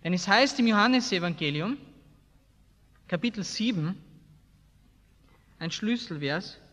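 An Austrian-accented man preaches in a high voice that rings through a reverberant hall. His delivery is blunt and emphatic, in everyday colloquial speech. He often puts rhetorical questions to his listeners. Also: animated, unhurried, a bit bright. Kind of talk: colloquial evangelical sermon. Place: large hall, preaching to a seated congregation. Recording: echoey hall with long reverb.

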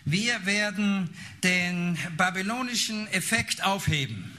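He is a man interpreting a sermon live, one phrase at a time. His voice is bright and forceful and sits a little high for a man. He often hesitates while searching for words, and there is noise in the background. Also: expressive, slow pace.

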